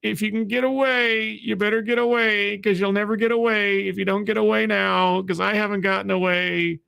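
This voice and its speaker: A man voicing a bored, rotting ancient vampire god who complains in a drawn-out, resigned way while comically retelling a story. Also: sits high, level tone, vocal fry, pushed and bright, clean tone, pitched above the performer's own voice, exaggerated voice, low-quality recording. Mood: weary, whiny.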